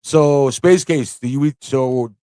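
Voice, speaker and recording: A man's voice: mid-range voice, takes it slowly, warm tone, smooth, no room sound, clean studio sound. A man hosting a casual live-stream trivia show, chatty and playful, often thinking aloud to his viewers. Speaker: host of a live pop culture show.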